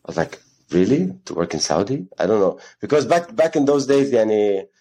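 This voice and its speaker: Fast-talking man with a low, mellow voice. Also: very animated, poor audio. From Ghana. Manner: rambling, mixes Arabic phrases into English. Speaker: guest, gym co-founder. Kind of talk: casual interview storytelling.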